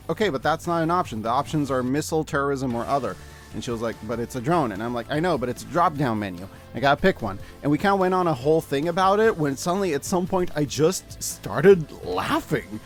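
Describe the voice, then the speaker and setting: A man narrating a scripted review video as its host, in a casual, wry and expressive way. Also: a little high. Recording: background music under the voice. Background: background music.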